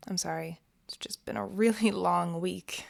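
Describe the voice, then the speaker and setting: Guarded young woman with a creaky voice, acted. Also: fairly low pitch, full character voice. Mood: guarded, weary. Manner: hesitant, guarded. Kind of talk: audio drama acting.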